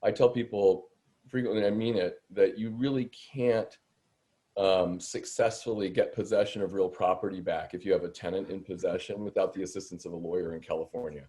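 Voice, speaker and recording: A man's voice: low voice, fairly even intonation, brisk, mellow. A man in his fifties from the Seattle area, a landlord attorney answering questions on a video-call webinar in a chatty, self-deprecating way. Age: 50s. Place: home room indoors, video call.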